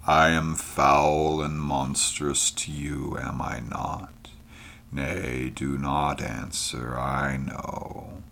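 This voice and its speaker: An ancient, blind, tortured creature from another world speaks, as a male narrator puts it on, its words hushed and mournful, sometimes sinking almost to a whisper. The voice is extremely deep and unhurried. It sounds weighed down by endless suffering. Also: exaggerated voice. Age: very old figure. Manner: hushed, mournful, breathy at times.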